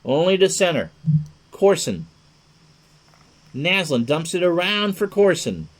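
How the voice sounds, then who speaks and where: A man's voice: high-pitched, sing-song, slow. A man doing chatty play-by-play hockey commentary, mixing clipped calls of the action with joking asides.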